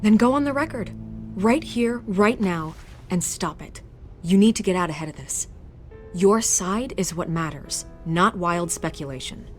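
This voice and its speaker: A confident female reporter in a video game, with a fairly low voice. She is assured and coaxing, with faint music behind her. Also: animated, unhurried. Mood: persuasive, confident.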